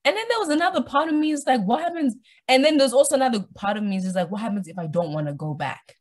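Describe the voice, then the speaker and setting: Expressive young woman chatting casually. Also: a little high, fast talker. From Australia, born in Zimbabwe. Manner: animated storytelling, acts out imagined dialogue, colloquial slang. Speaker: podcast guest. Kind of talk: casual conversational interview.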